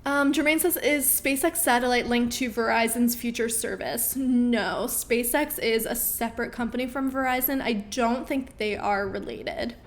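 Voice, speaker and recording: A woman in her thirties chats casually through viewer questions on a live stream, upbeat and full of ums. Her voice sits fairly high and is starting to wear out. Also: normal speed, hardly any pauses. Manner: chatty, upbeat, with frequent ums. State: losing her voice.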